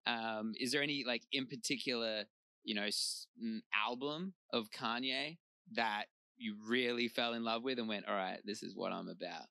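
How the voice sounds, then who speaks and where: A man's voice: medium pitch, moderate pace, gravelly, bright, forceful tone, dry recording. A man with an Australian accent interviewing a musician, enthusiastic and hypey, with lots of casual fillers.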